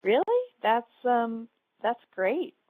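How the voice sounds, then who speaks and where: A woman's voice: fairly high pitch, slow pace, no room sound, narrow, tinny audio. A woman playing an earnest, plucky young female alien in a sci-fi game audio drama, a little unsure of herself. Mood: wary, hesitant. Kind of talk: fan audio drama, game-story character acting.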